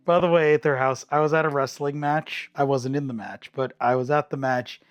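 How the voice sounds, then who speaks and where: A slightly hoarse man chatting casually with viewers while cooking in his home kitchen, rambling in an easygoing way and chuckling often. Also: a little high, steady tone, measured pace, no echo, faint music behind. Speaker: streamer.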